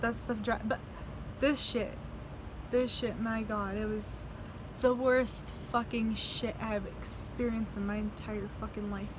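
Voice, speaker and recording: Young woman vlogger, fairly high voice, slow and rambling, profane, laughs often; faint vehicle noise behind. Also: fairly even intonation. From United States. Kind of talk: casual video diary storytelling.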